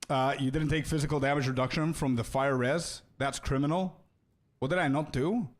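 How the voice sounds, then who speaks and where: A man's voice: medium pitch, fairly even intonation, moderate pace. Laid-back man chatting on a game stream. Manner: laid-back, calls viewers bro and man, stumbles and repeats words. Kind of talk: casual live-stream chat, answering viewers.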